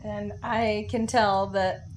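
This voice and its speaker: A woman's voice: medium pitch, level tone, takes it slowly. Casual woman gamer, noisy recording. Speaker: tabletop game player. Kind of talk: casual tabletop game chat.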